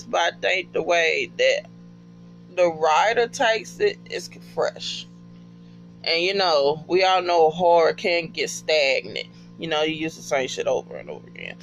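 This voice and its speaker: High-pitched, creaky-voiced man. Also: fairly bright tone, highs cut off. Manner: slangy, profane, emphatic, with repeated phrases. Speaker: podcast fan giving recommendations. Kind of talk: casual, unscripted chat.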